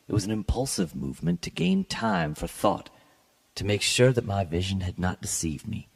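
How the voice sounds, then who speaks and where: A man giving a dramatic, scripted reading of classic literature as a podcast host, with a gravelly, husky voice and a measured, formal, theatrical delivery. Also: sits low. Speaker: podcast host and story reader.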